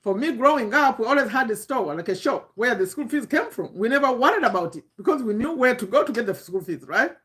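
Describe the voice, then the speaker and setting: Female, 50s, Ugandan accent, slightly high pitch; informal online coach, emphatic and repetitive, posing rhetorical questions. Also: lively intonation, fast, few pauses, a bit bright. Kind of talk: informal motivational talk to camera.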